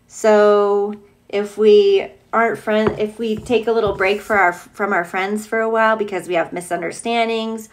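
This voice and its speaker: A woman teacher reading a picture book aloud to young children, expressive and playful, with gushing, chatty asides. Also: medium pitch, normal speed, slight background noise.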